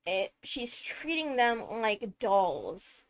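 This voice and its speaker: A woman giving enthusiastic fan commentary, thinking aloud with dramatic, deliberate phrasing and emphatic pauses. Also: fairly high pitch, big pitch swings. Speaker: video creator analysing a game character.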